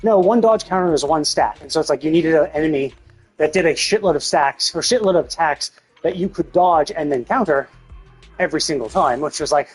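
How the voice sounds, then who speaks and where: A man's voice: on the high side, a little fast, faint music behind, low-quality recording. A man streaming video games and chatting casually, thinking aloud in a rambling way. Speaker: video game livestreamer.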